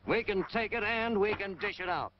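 A man's voice: high-pitched, normal speed. An American man narrating a 1940s military training film in a hard-boiled, punchy, emphatic way. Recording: dull, noisy 1940s film soundtrack.

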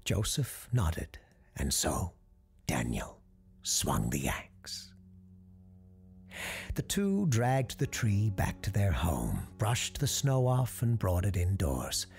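A storyteller narrating a scripted ghost story in a hushed, deliberate, suspenseful and dramatic way. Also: low voice, huge pitch swings, creaky. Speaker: storyteller, host.

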